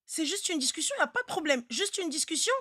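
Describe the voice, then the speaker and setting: A woman's voice: very high voice, animated, quick pace, noisy background. A woman hosting a casual live advice chat, speaking bluntly and insistently and often repeating words to drive a point home. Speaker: live stream host giving relationship advice.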